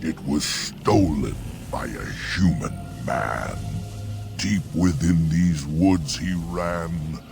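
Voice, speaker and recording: Ancient, rumbling, very deep talking oak. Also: male voice, takes it slowly, music in the background, exaggerated voice. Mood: solemn courteous. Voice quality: deep, creaky. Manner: archaic, solemn rhyming verse. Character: ancient courteous talking oak tree, mournful, rhyming.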